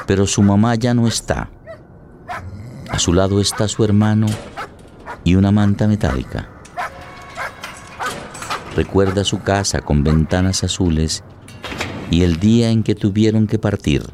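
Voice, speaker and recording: A man's voice: lowish voice, expressive, a little slow, pauses often, darkish tone, a little hiss or hum. A man reading dramatic scripted narration for a radio drama. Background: music.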